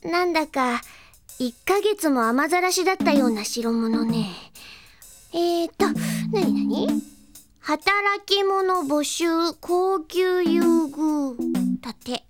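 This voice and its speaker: A woman's voice: very high-pitched, slow, over loud music. A woman playing an airy, chatty little girl witch who runs a magic shop, in a cute, dramatic audio drama style. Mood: puzzled. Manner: dramatic, cute, talks to herself. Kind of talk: Japanese audio drama character acting.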